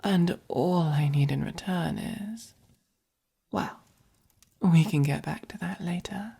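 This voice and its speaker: A woman voicing a gentle, possessive, doting vampire in a soft-spoken ASMR roleplay, with an English accent and breathy, whispery, coaxing delivery. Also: on the low side, heavily acted. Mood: reassuring. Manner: slow, coaxing, gently commanding. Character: gentle, possessive, doting dominant vampire keeping a human pet.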